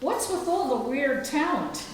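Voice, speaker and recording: A woman's voice: high-pitched, lively intonation, unhurried, a little hiss or hum. A woman playing a vain, faded starlet in a live radio-style comedy, heard from far off in an echoey hall. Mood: self-important. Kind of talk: live old-time radio comedy acting. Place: live stage show in a library.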